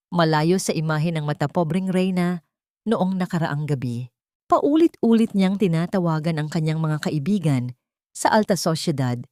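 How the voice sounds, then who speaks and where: A woman's voice: sits low, darkish tone, dry recording, clean studio sound. A woman with a Filipino accent narrating a dramatic scripted audio story.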